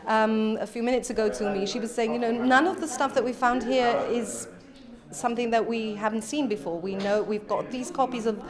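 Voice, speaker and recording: Female museum interviewee, conversational and enthusiastic, fairly high pitch, noticeable crowd behind. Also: steady tone, brisk. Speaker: museum representative. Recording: busy chatter behind. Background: crowd chatter.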